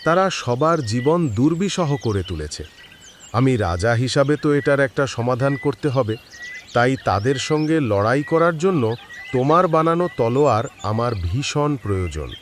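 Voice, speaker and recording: A man's voice: medium pitch, big pitch swings, normal speed, a little hiss or hum, lightly acted. An actor playing a courteous, generous king in a cartoon, with a declamatory way of speaking. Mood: grave. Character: courteous, generous male king of a neighboring kingdom. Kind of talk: cartoon voice acting.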